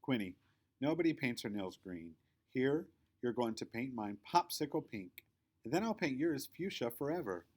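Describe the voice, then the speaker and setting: A man's voice: medium pitch, big pitch swings, normal speed, slightly rough. A man voicing a bossy, prissy young girl with an emphatic know-it-all manner, in a children's storybook reading. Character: bossy, prissy young girl, self-assured best friend.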